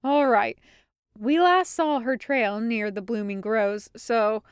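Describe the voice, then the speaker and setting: A woman's voice: on the high side, takes it slowly, smooth, no room sound, clean studio sound. A woman voicing an exasperated, blustering male adventurer hero in an audiobook story. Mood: resolute. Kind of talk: audiobook character acting.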